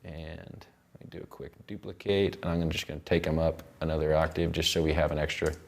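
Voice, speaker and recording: A man's voice: a bass voice, fairly even intonation, brisk, gravelly. A man teaching music production in an informal tutorial, rambling loosely and thinking aloud as he works. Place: indoor recording studio.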